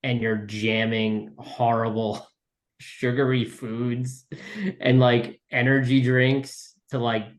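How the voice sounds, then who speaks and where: A man's voice: mid-range voice, level tone, takes it slowly. A man talking casually as a writer being interviewed, in an informal, rambling way. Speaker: writer and podcast guest.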